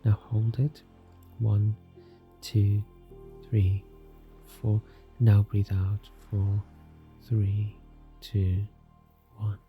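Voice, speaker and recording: A man leads a guided meditation in a soft, soothing, scripted narration, calm and gentle, leaving long unhurried pauses. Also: fairly low pitch, phone-quality sound. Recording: music behind the voice. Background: soft music.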